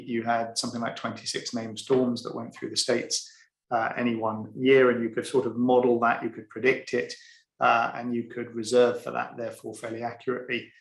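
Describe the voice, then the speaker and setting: A man's voice: mid-range voice, creaky. A man on a webinar panel, explaining an insurance executive's view in a conversational, step-by-step way with fillers over a video call. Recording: echoey room.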